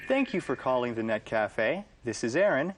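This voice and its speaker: A man playing a young cafe worker and teasing brother in a scripted sitcom, speaking glibly with clear enunciation. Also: mid-range voice, big pitch swings, moderate pace, slight background noise, close to an everyday voice. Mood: polite, businesslike. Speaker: actor in an English-teaching sitcom.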